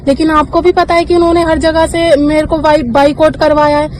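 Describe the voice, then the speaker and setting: A woman's voice: sits high, little pitch movement, a little fast, a little mellow. A woman arguing on a phone call, insistent and accusatory, often cutting in on the other person. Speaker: caller, actress. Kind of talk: argumentative phone conversation. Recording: noisy phone call recording, traffic-like hum.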